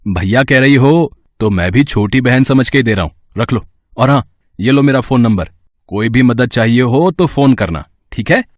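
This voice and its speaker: A man's voice: mid-range voice, expressive, moderate pace, no echo, studio quality, natural voice. A man playing a kind young man in an audio story, caring and reassuring like a big brother. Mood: kind, warm. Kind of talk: acted audio story dialogue.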